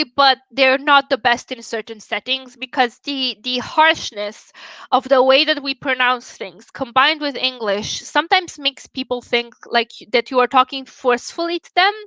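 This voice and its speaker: A woman's voice: high-pitched, bright, forceful tone, no room sound, muffled recording. A young woman from Romania with a Romanian accent, chatting candidly with her livestream viewers and swearing casually. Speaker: livestream host.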